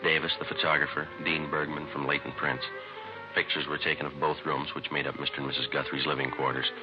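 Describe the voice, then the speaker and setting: A man's voice: extremely low pitch, level tone, quick pace, music in the background. A man playing a terse, businesslike police detective in a 1950s radio crime drama, clipped and matter-of-fact. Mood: dry, official. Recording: noisy, dull vintage radio recording.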